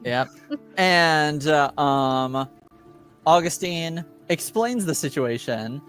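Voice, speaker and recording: A man with a slightly high voice narrates a tabletop game recap as game master. He takes his time, halting and stretching out the story, with music playing behind him. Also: expressive, fairly bright tone, smooth.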